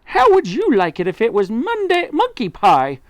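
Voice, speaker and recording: A reader putting on a fussy roly-poly bird, prim and offended, speaking high and raised. The sound is close and dry. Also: wildly swooping pitch, normal speed, dry recording. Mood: indignant.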